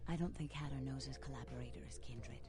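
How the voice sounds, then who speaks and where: Theatrical, teasing, mellow vampire woman. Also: a bass voice, quick pace, faint music behind. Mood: insistent. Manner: theatrical, teasing, sorrowful delivery with whispered phrases. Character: seductive female vampire club owner, dramatic and possessive. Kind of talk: video game voice acting.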